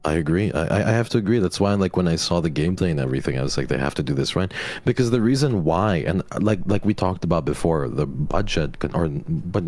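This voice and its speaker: A man's voice: deep voice, lively intonation, quick pace, hardly any pauses, darkish tone, dry recording. A man guesting on a stream in casual chat, talking in a chatty way full of fillers.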